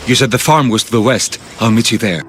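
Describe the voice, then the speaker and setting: An actor playing a troubled, gentle young Greek healer in a video game cutscene, earnest, pleading and a little hesitant. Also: male voice, medium pitch, fast, soft background music, lightly acted. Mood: grateful, tender.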